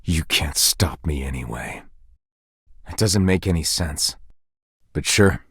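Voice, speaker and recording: A living candelabra from a video game, a washed-up fraud with a low, husky voice. He is blunt, sulky and flirty, and his pitch moves around freely as he talks. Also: animated, slow pace, a bit bright, no room sound, clean studio sound, heavily acted. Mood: brooding, grandiose. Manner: blunt, resigned, casual. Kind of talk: video game character dialogue.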